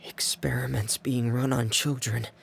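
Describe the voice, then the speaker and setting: A man voicing a quiet, timid, worried teenage boy in a video game, hesitant and soft-spoken. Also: mid-range voice, little pitch movement, a little slow, vocal fry, a little hiss or hum. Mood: somber.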